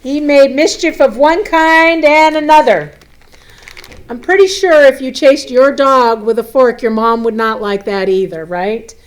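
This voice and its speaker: A woman reading a picture book aloud to children, expressive, with chatty asides, questions and simple explanations. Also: high-pitched, unhurried.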